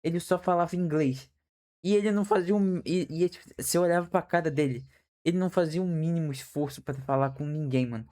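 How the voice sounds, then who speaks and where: A man's voice: a little high, a little fast, dark tone. A young man streaming a reaction, talking casually with slang and frequent restarts. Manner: hesitant, restarts often, slangy.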